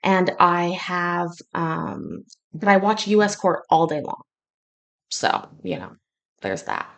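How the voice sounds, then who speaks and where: A woman's voice: lowish voice, very animated, slow pace, vocal fry. A woman born in Scotland hosting a casual livestream from her kitchen, chatty and joking with a sarcastic streak. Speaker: livestream host. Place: home kitchen.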